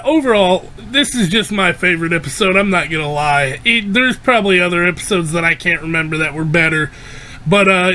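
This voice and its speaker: A man with a high, bright voice talks casually and loosely, full of fillers and restarts. His voice is wearing hoarse as he chats through an anime episode. The tone is light and sharp, pitched above most men. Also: slight background noise. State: losing his voice. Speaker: anime reviewer. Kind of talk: casual spoken episode review.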